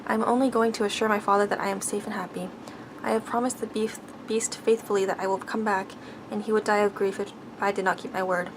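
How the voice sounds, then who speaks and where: A woman voicing a kind, loyal young storybook heroine, speaking softly and earnestly. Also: mid-range voice, fairly even intonation, quick pace, background noise, lightly acted. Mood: earnest, protective. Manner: soft, earnest.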